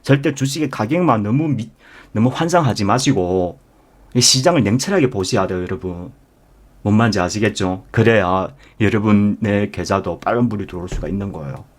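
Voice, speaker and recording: Low-voiced man, emphatic stock instructor. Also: lively intonation, fairly quick. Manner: emphatic, asks listeners rhetorical questions; addresses listeners directly and repeatedly. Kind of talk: live market lecture with membership pitch.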